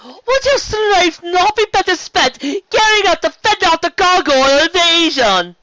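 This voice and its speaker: An elegant, cutting sea serpent queen from a storybook, acted by a man. The voice is pitched far up, sharp and sweeping, with grand condescension. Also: sing-song, a little slow, no echo, highs cut off, full character voice. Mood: haughty, scornful.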